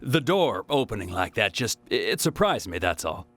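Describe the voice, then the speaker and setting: A man's voice: fairly low pitch, very animated, moderate pace, a bit bright. An actor playing a jovial, talkative travelling salesman in a video game cutscene, with a folksy, chatty manner. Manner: chatty, folksy, chuckling, exclamatory.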